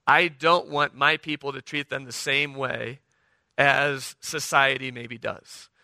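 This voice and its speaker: A middle-aged man preaching a sermon, hoarse from the flu, talking conversationally and often checking in with the congregation. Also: medium pitch, flat delivery, slow pace, pushed and bright, no room sound. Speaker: pastor.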